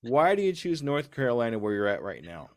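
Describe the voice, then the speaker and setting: Male podcast host, mid-pitched, informal and rambling, chatting with a guest in a dry, close recording. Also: sing-song, fairly quick, no room sound. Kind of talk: casual conversational interview.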